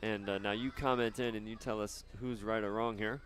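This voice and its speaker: A man doing live soccer commentary outdoors, chatting casually and haltingly between plays. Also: on the low side, fairly even intonation, fairly bright tone. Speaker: sports play-by-play announcer.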